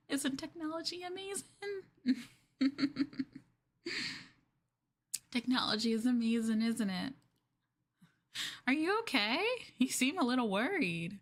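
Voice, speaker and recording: A woman playing a clingy, lovestruck yandere girlfriend in an ASMR roleplay, soft, coy and doting, with a hesitant, flustered way of talking. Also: a little high. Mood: adoring, possessive.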